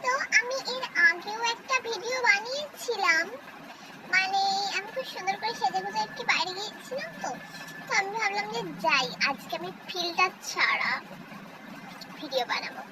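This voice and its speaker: A woman chatting casually to viewers as a vlogger, her voice run through a high-pitched voice-changer filter. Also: lively intonation, moderate pace, heavy background noise.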